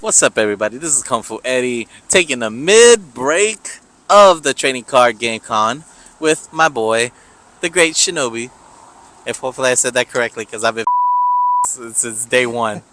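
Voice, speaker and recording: A man's voice: mid-range voice, animated, moderate pace, background noise. A man hosting a casual vlog chat at a convention, whose first language is not English, joking and laughing often as he talks. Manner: joking, laughs often, trails off mid-sentence. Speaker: vlog host. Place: convention center.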